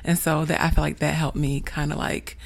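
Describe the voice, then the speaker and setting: A young woman chatting candidly, her low voice wandering through fillers and restarts. She speaks openly and at length, circling back as she builds each point. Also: little pitch movement, fairly quick, vocal fry. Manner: frank, rambling, many fillers and restarts. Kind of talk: candid conversational chat.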